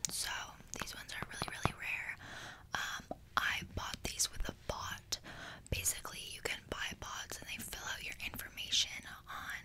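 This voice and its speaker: A woman's voice: moderate pace, poor audio. A young woman whispering an ASMR roleplay close to the microphone in casual, slangy, playfully boastful speech. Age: young adult. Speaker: ASMR creator. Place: small bedroom indoors. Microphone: desk microphone close to the mouth.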